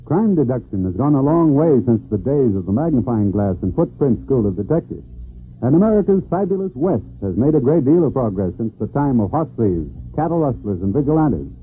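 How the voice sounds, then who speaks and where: A man reading a scripted promo as a radio announcer, in a smooth, emphatic style on an old broadcast. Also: mid-range voice, sing-song, fairly quick, phone-quality sound, a little hiss or hum.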